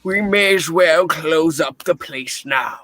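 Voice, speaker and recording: A man's voice: a little high, slow, bright, forceful tone, dry recording. A man playing a grumpy, miserly old businessman, curt and scornful, in a theatrical radio play reading. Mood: grudging, sour.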